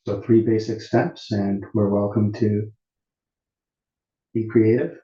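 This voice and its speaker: Calm guy leading meditation, really soft dark tone, slow w long pauses, echoey muffled room sound. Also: on the low side. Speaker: meditation teacher. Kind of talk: guided meditation instruction at a retreat. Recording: muffled, roomy echoing sound.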